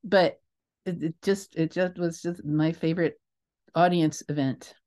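Older female interview guest, slightly low-pitched, emphatic raconteur who wanders into asides. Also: measured pace. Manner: expressive, emphatic, rambling with asides. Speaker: guest, theatre creator. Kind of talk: animated anecdotal storytelling in an interview.

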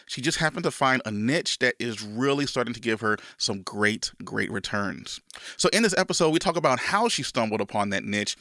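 A man's voice: mid-range voice, lively intonation, moderate pace, pushed and bright, no room sound, clean studio sound. A man hosting a podcast, sounding a little congested from a cold, enthusiastic and rambling with restarts. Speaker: podcast host. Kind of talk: casual podcast intro and interview.

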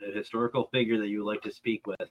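A man's voice: on the low side, little pitch movement, very fast, slight background noise. A man hosting a casual comedy panel, upbeat and prompting his guests with questions. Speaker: show host. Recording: dull lo-fi sound.

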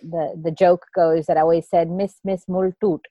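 A woman in her fifties from India tells her life story as an interview guest. Her voice sits a little low, and she winds through her memories with many restarts. The sound is close and dry. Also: level tone, moderate pace, warm tone, dry recording, muffled recording. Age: 50s. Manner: reflective, rambling, with frequent restarts.

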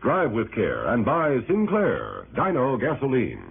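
A man's voice: mid-range voice, huge pitch swings, a little slow, gravelly. A man reading a scripted 1960s radio advertisement as a commercial announcer. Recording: tinny, noisy 1960s radio recording.

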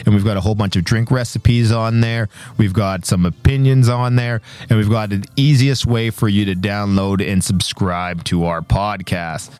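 A man's voice: fairly low pitch, fairly even intonation, normal speed, hardly any pauses, darkish tone, no room sound. Dry-recorded male podcast host. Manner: chatty, promotional. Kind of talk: podcast outro with plugs.

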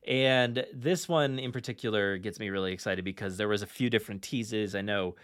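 A man's voice: lowish voice, fairly quick, fairly bright tone, no echo, studio quality. A man hosting a podcast in a casual, enthusiastic, chatty and informal way. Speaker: podcast host.

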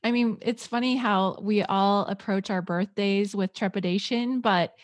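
A woman's voice: mid-range voice, steady tone, a little slow, dry recording. A woman hosting an interview podcast, enthusiastic and encouraging toward her guest. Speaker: podcast host.

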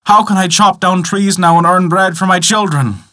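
A man voicing a poor, honest woodcutter in a storybook tale, melodramatic, plaintive and excitable. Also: sits high, normal speed, pitched above the performer's own voice. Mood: wailing despair. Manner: wailing, melodramatic.

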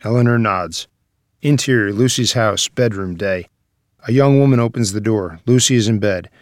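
A man's voice: fairly low pitch, normal speed, no room sound, clean studio sound. A man narrating a screenplay's scene headings and action in scripted table-read style. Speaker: stage direction reader.

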